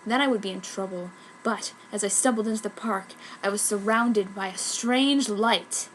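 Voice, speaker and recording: A woman's voice: medium pitch, normal speed, noisy background. A woman reading an amateur story aloud in a dramatic, comic narration style. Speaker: fanfiction reader.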